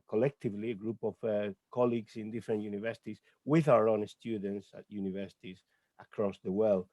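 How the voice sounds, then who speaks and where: A man's voice: fairly low pitch, darkish tone, dry recording. A man from Spain with a Spanish accent, a poet and educator speaking on an online panel in a hesitant way, with many filler sounds, over a video call. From Lorca, Murcia, Spain.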